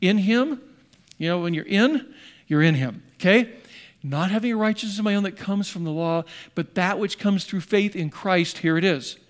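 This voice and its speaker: A man's voice: high voice, normal speed. A man preaching a sermon as pastor, animatedly voicing the apostle in first person with rising emphasis.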